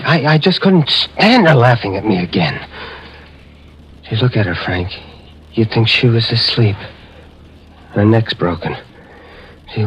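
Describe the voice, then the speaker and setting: An unhinged, wildly swinging lonely loner. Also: male voice, lowish voice. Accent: American. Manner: halting, stammering, pleading. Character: lonely, unhinged night-shift window dresser turned killer. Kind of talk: 1950s radio horror acting. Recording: muffled vintage radio, music underneath.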